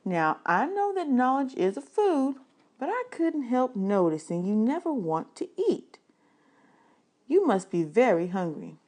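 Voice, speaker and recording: A woman voicing a smiling, kindly librarian in a storybook, chatting to a boy in a gushing, encouraging, drawn-out way. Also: fairly high pitch, big pitch swings, darkish tone, pitched above the performer's own voice. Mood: encouraging, fond.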